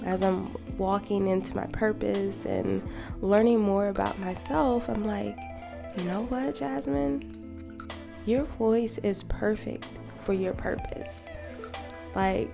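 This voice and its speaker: A woman in her thirties, a podcast co-host, talking calmly and reflectively in a quiet, soft voice. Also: mid-range voice, gravelly. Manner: calm and gentle. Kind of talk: reflective personal monologue. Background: music.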